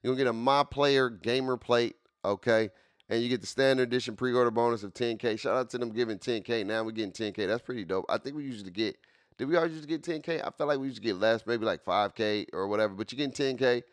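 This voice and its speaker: A man's voice: mid-range voice, fast talker, clear, resonant tone, no echo, clean studio sound. A man in his late forties streaming casually, repeating himself with hype and constantly talking to his chat. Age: 40s. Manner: repetitive, hyped, keeps addressing chat.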